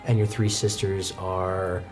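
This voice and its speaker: Theatrical game master over music, low-key pitch. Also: flat delivery, takes it slowly. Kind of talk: improvised dramatic narration of a tabletop game. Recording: music bed under the voice.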